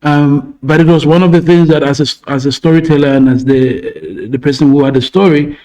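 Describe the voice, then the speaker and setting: A man's voice: a little high, brisk, mellow, dull audio. A man from Ghana, co-hosting a podcast in a reflective, word-searching manner. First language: Twi. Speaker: podcast co-host and filmmaker. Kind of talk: casual conversation.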